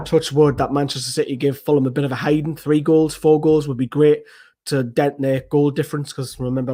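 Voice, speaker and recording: A man, hoarse from losing his voice, giving an excitable, rambling post-match football analysis as a fan channel host. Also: mid-range voice. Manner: excitable, swears freely, rambling restarts.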